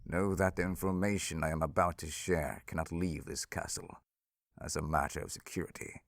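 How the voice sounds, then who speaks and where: A man playing a stern, authoritative king in a fantasy audio drama, measured, formal and commanding. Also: very deep voice, steady tone, no echo, studio quality. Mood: grave, commanding. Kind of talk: fantasy audio roleplay acting.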